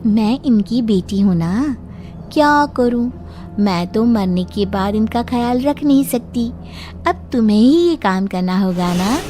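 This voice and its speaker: Woman playing an eerie, slow-speaking ghost daughter. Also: fairly high pitch, expressive, warm tone, faint music behind. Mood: coaxing menace. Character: eerie young female ghost, possessive daughter of elderly parents. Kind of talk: Hindi horror audio story acting.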